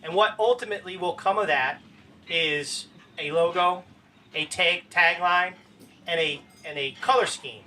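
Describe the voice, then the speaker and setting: High, bright-voiced man at a distant mic. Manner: halting, with frequent restarts. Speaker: city mayor on a panel. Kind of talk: panel answer, casual speech. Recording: distant mic, faint background noise.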